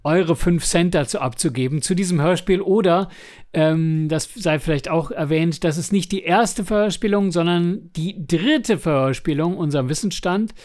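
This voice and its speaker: A man co-hosting a podcast in casual review talk, often halting and correcting himself mid-sentence. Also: on the high side, a little mellow. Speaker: podcast co-host.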